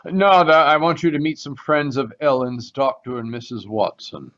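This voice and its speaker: A man playing a proud, haunted retired colonel in a radio mystery, formal and excitable, heard over a video call. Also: medium pitch, normal speed, lightly acted. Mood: proud, cordial. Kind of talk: 1940s radio mystery acting. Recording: dull, lo-fi video call audio.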